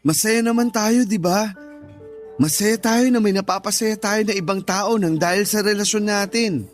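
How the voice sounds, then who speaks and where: High-pitched defensive young Filipino boyfriend played by an actor. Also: male voice, moderate pace, close to an everyday voice. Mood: defensive, placating. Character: defensive, eager-to-please young boyfriend, a content creator. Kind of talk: radio drama acting. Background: music.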